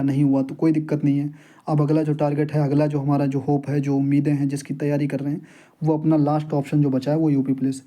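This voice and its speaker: A young man speaks in a casual vlog style. His voice sits a little higher than average yet has a very mellow, muted tone. He explains things to viewers as a peer, often restating his point. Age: young adult. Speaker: exam-preparation YouTuber and candidate.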